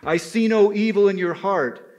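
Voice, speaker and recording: A storyteller, a man, voices a mighty wild man of the woods in an oral folk tale. He is stern yet kindly, with a somewhat high voice and solemn, commanding delivery. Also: normal speed, lightly acted. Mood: solemn blessing.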